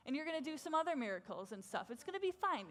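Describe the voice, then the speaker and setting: A woman voicing a relaxed male deity who reassures in a casual, offhand way. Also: sits high, fast talker, voice pushed up. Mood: breezy.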